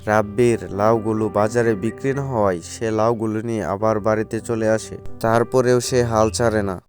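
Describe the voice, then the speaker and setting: A man's voice: lowish voice, fairly even intonation, moderate pace, darkish tone. A man reading scripted storybook narration for a cartoon. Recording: faint music behind the voice.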